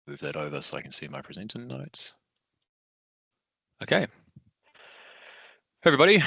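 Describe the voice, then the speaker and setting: A man hosting a software webinar in a relaxed, casual way. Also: deep voice, no room sound. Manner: casual, easygoing, loose phrasing. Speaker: documentation manager, webinar host. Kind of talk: relaxed presenting.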